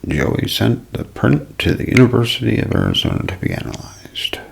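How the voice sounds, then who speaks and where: A man narrating a scripted mystery as ASMR in a breathy, hushed half-whisper, phrase by deliberate phrase. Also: mid-range voice, huge pitch swings. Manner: slow, deliberate, broken into short phrases.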